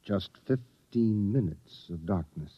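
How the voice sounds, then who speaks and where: A doubting man with a lowish, husky voice. He questions incredulously, in old radio drama style, on a dull recording. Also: expressive, takes it slowly, dry recording, heavily acted. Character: doubting, puzzled male listener to a scientist. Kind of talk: 1950s radio drama acting.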